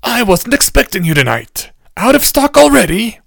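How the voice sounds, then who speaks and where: A man voicing a thin, grumpy old male shopkeeper in a storybook reading, with a gravelly, scolding voice. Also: extremely high pitch, sing-song, normal speed, a bit bright, no echo, studio quality, pitched above the performer's own voice, full character voice. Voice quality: gravelly, snappish. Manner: gruff and scolding.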